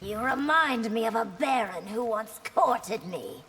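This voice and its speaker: An actress playing a proud, imperious royal warrior with a measured, cutting manner in game banter. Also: female voice, fairly high pitch, lively intonation, a bit bright. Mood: haughty. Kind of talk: video game character banter. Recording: long hall reverb, faint background noise.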